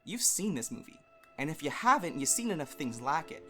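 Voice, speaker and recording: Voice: medium pitch, sing-song, fairly quick, over music. A narrator giving a wry, theatrical dramatic reading of a scripted story.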